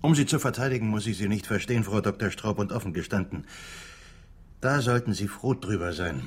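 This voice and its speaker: An actor playing a coolly analytical, skeptical defence lawyer in a radio drama, formal and measured in manner. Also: male voice, fairly low pitch, lightly acted. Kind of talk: German radio drama acting.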